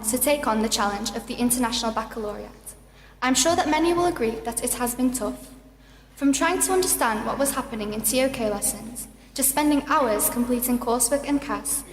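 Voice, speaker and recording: A woman's voice: on the high side, slight background noise. Young woman giving a speech in an echoey hall. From South Africa. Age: late teens. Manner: formal, read from a script, measured. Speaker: deputy school captain. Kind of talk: prepared prize-day speech. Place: large school hall at a ceremony. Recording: echoey hall with music behind.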